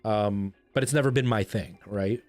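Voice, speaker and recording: A man's voice: fairly low pitch, normal speed, a little mellow. A man in his thirties from El Salvador with a light Canadian accent, chatting casually with viewers on a live stream, rambling with many restarts. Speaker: livestreamer. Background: faint music.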